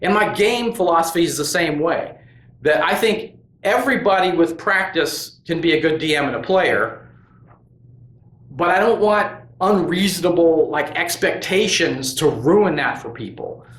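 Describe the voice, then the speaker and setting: Man, channel host, casual monologue, slightly high voice, lots of restarts, echoey room. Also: animated, unhurried, fairly bright tone. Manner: rambling, earnest, with many restarts and "you know". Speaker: host of a tabletop gaming crafts channel. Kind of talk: casual opinion monologue to camera.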